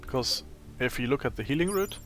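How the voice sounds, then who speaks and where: A man with a raspy, tired voice giving casual gameplay commentary, thinking aloud as he plays. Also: medium pitch, animated, fairly bright tone, soft background music. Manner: slow, thinking aloud while playing. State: voice strained from a long day of talking.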